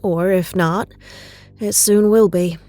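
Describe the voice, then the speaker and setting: Dark-toned, calm woman agent. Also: on the low side, no echo, faint music behind. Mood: serious. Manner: measured, reassuring. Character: calm, conciliatory female FBI agent. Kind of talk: audio drama acting.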